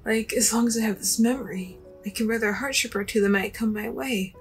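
A woman voicing a gentle young apprentice mage in an anime fandub, soft, reflective and a little hesitant. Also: on the high side, steady tone, a little fast, lightly acted. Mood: hesitant, sincere. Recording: music under the voice.

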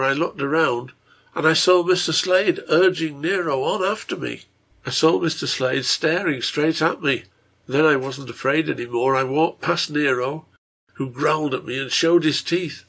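A man voicing a gentle, pious young girl on her sickbed in a story, speaking quietly and earnestly to her father. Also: fairly high pitch, moderate pace, vocal fry, pitched above the performer's own voice. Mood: intense recounting. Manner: quiet, tender, intent delivery.

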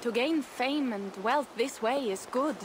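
A woman acting a higher-voiced young Viking warrior. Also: a little slow, slight background noise, lightly acted. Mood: matter-of-fact. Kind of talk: dramatic game cutscene acting.

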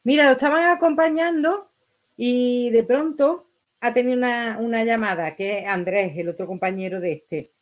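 A middle-aged woman with a Spanish accent from Spain reacts out loud in a casual, chatty style. Her voice sits fairly high for a woman and her delivery is expressive and full of exclamations. She is recorded in a small room at home. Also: normal speed. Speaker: reaction video host. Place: small bedroom indoors.

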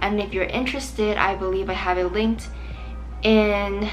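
Young woman, slightly hoarse, chatty makeup talk, soft music behind. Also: mid-range voice, steady tone, unhurried, low-quality recording. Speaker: vlogger. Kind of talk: casual makeup chat to camera. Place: small bright room indoors.

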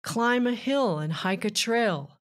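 A woman reading a rhyming children's book aloud with measured, deliberate phrasing. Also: on the high side, expressive. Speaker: children's author and narrator.

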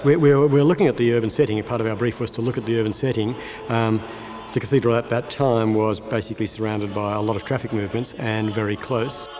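Middle aged aussie guy explaining stuff fast, lowish voice, music behind. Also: steady tone. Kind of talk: explanatory interview.

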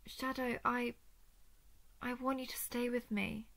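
A woman playing a lovestruck female dragon, possessive yet tender and remorseful, speaking softly and hesitantly with breathy whispers in an intimate roleplay audio. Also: on the high side, flat delivery, measured pace, no room sound. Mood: pleading, confessional. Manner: soft, hesitant, often dropping into breathy whispers.

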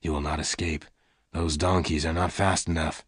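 A gruff, gentle gunman from a western storybook, acted out by a male reader. The voice is very deep and quiet, with a deliberate, solemn delivery. The sound is dry and close. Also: little pitch movement, no echo. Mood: grim. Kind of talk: dramatised audiobook dialogue.

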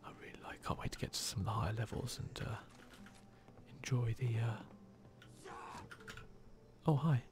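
A man hosting an ASMR video game playthrough, commenting in a hushed voice that drifts between soft speech and whispering, close to the microphone. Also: mid-range voice, moderate pace, long pauses. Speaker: gaming video host.

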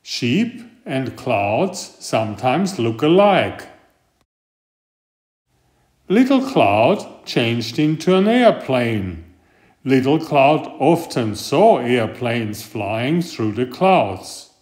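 Slow, animated man reading a picture book. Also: medium pitch. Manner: deliberate, expressive storytelling. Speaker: storybook reader and author. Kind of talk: children's picture book read-aloud.

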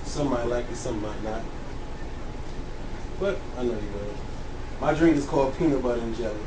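A man's voice: medium pitch, normal speed. A man hosting a casual home cooking demonstration in a kitchen, talking informally to viewers. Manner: informal, addresses viewers as y'all. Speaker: home cooking show host. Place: home kitchen beside sizzling fryer. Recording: distant mic, loud frying kitchen noise. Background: frying food sizzle.

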